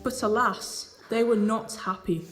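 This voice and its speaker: A woman's voice: a little high, fairly even intonation, measured pace. A woman narrating a scripted fairy tale as the storyteller of a pantomime. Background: music.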